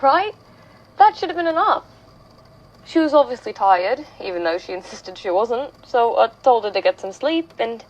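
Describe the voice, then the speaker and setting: An anxious young woman, played by an actress, gives a naturalistic confessional monologue in a horror audio drama. Her voice sits fairly high, and she speaks conversationally, hesitating and correcting herself as she recounts something uncanny. The recording is a little dull, with faint noise behind her. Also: a little mellow. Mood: uneasy, worried. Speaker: voice actor in a horror fiction podcast.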